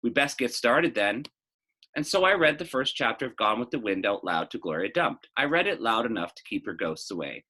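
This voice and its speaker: Man, low bright voice, animated storybook narration, children's novel read aloud. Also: fairly quick, few pauses, slightly rough. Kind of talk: expressive story narration with brief teaching asides.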